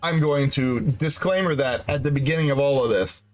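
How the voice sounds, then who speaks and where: A man's voice: mid-range voice, moderate pace, faint music behind. A congested man chatting casually on his game stream, rambling, laughing and swearing freely. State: congested nose and throat. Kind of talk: casual gaming stream chat.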